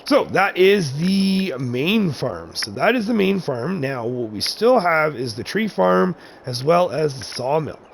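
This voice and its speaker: A man with a gravelly, mid-pitched voice walks through his game farm in a relaxed, rambling way. He often corrects himself mid-sentence and sounds a little raspy from chest congestion. A faint hiss sits under his voice. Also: unhurried, hardly any pauses. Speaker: gaming channel host. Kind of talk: casual game map tour commentary.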